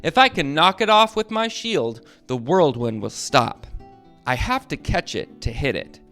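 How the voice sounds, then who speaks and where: A man reads the part of a brash superhero captain in a children's storybook. He is earnest and urgent, with lively swings in a fairly high voice, over faint music. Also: unhurried, exaggerated voice. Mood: determined. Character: brash, eager male superhero captain set on speed.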